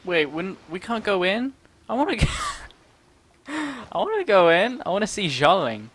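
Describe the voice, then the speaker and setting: A woman game streamer giving casual, expressive, giggly let's play commentary. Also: medium pitch, a little slow, husky.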